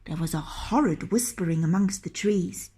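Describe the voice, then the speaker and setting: A woman narrating a children's story in an expressive, dramatic and deliberate way. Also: fairly low pitch, noisy background.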